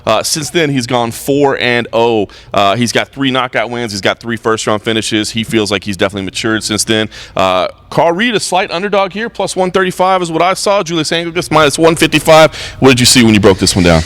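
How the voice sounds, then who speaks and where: A man hosting a fight pre-show, briskly reading records and betting odds and quizzing his panel. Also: medium pitch, lively intonation, fairly bright tone. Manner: brisk, reads stats and odds. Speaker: host.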